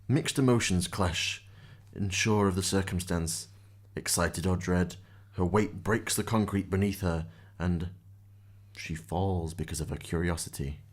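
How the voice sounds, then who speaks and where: Male narrator, deep low pitch, scripted storytelling, radio drama.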